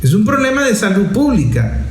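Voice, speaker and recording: A man's voice: high voice, huge pitch swings, normal speed, a little mellow. A man with a Venezuelan accent giving emphatic opinion commentary as a presenter, using rhetorical questions and lists. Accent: Venezuelan Spanish. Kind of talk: opinion commentary on media and politics. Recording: roomy, echoing sound.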